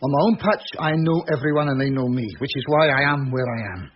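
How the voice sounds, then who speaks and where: An actor playing a gruff, guarded ex-convict pub landlord in a radio drama, curt and defiant. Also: male voice, mid-range voice, fast, a crowd in the background, natural voice. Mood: wary, frank. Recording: dull lo-fi radio audio, clear noise.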